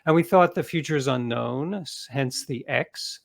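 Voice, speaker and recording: A man's voice: medium pitch, normal speed, gravelly. A man speaking casually as an author being interviewed.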